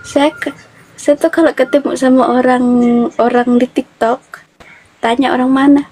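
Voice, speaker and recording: Woman streamer, high and teasing, faint noise under. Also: flat delivery, moderate pace, a little mellow, rough recording. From Toraja, South Sulawesi. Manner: teasing, chatty, laughs often. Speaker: live-stream host. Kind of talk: casual live-stream chat.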